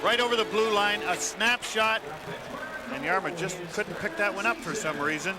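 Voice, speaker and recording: A man giving live colour commentary on hockey in a conversational, somewhat halting way. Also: high voice, over loud music. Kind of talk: live sports analysis. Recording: loud arena noise behind. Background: loud hockey arena ambience.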